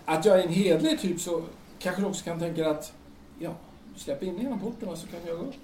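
A man's voice: high voice, mellow, close to an everyday voice. A man playing an earnest, nervous passer-by in an audio drama, hesitant and pleading, stammering as he presses on. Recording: roomy, distant mic with noise.

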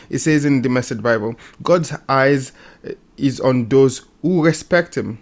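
A man in a casual faith discussion, speaking hesitantly and often repeating words as he thinks. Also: medium pitch. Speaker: panelist on a religious programme.

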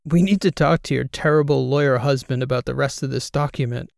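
A man's voice: mid-range voice, brisk, vocal fry, no room sound, natural voice. A man doing a sarcastic female podcast co-host. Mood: sardonic. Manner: dry, sarcastic. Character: sardonic female podcast co-host, sharp-tongued.

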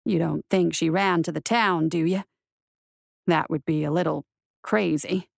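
A woman voicing a gruff, weary male marshal in an audiobook, grumbling in a dry, wry way. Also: low-pitched, fairly even intonation, measured pace, no room sound, pitched below the performer's own voice, full character voice. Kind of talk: audiobook character voice.

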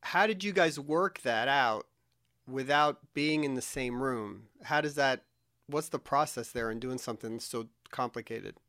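Smooth-voiced male interviewer, dry sound. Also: mid-range voice, unhurried, sharp tone, no room sound. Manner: long, winding questions. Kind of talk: interview questions.

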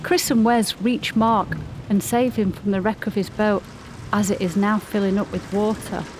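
A woman's voice: medium pitch, fairly even intonation, moderate pace. Woman narrating a radio play, noisy background.

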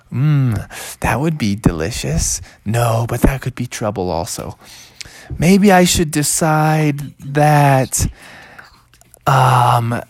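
A woman voicing Bubby, a curious, excitable young male bear cub, in a bedtime story. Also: dry recording, full character voice. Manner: high and wondering.

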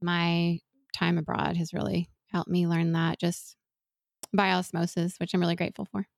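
A woman's voice: lowish voice, flat delivery, normal speed, vocal fry, dry recording, studio quality. A woman in her forties who grew up in Venezuela, talking earnestly and thoughtfully as an interview guest, often restarting her sentences. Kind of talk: reflective interview conversation.

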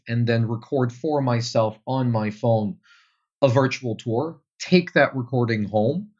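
Flemish man, Dutch native, deeper voice, measured and filler-heavy, dull recording. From Belgium. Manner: thoughtful, many um fillers. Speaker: tour guide guest. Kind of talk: casual interview answers.

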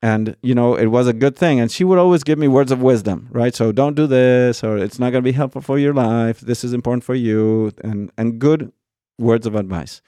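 A mellow-voiced man from Argentina speaks as a priest recounting his own story. He talks in an unhurried, thoughtful way, filling pauses and checking in with the listener. The sound is dry and close. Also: medium pitch, no echo. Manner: thoughtful, many pauses and fillers, says "right?" often. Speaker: priest guest. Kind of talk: conversational storytelling.

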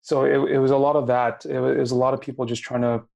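A man's voice: mid-range voice, flat delivery, quick pace, vocal fry, a little mellow, highs cut off. A man in his late twenties with a slight Filipino accent, hosting a podcast and talking casually, often searching for his words. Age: late 20s. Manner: searching for words, many fillers and restarts. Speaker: podcast host. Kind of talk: casual interview chat.

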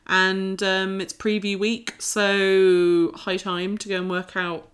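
A woman's voice: mid-range voice, little pitch movement, slow, smooth. A woman co-hosting a podcast, chatting informally and reading out listings in a casual way. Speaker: podcast co-host.

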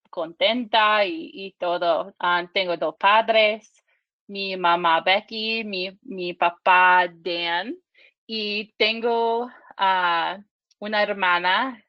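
A woman in her thirties from Michigan, a podcast guest speaking halting learner's Spanish with English as her first language, full of restarts and fillers. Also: medium pitch, no echo, highs cut off. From Michigan, USA.

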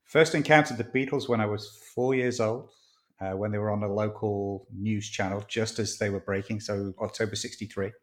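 A man's voice: lowish voice, fairly even intonation, normal speed. A man in his sixties from near Manchester with a northern English accent, telling stories as an interview guest in a rambling, chatty way with many ums. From northwest England, near Manchester. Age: 60s. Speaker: author and podcast guest. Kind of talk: casual interview chat.

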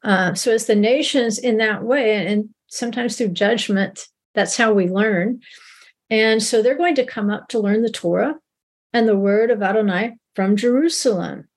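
Middle-aged woman teaching bible stuff, breathy voice, chatty and kinda repeats herself. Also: mid-range voice. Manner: conversational, with frequent restarts. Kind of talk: teaching a recorded Bible lesson.

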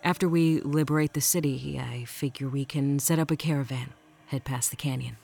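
A woman's voice: very deep voice, steady tone, normal speed, creaky, a little mellow, full character voice. A woman plays a human rebel in a sci-fi audio drama. She sounds wry, confident and casual, with faint music underneath. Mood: easygoing.

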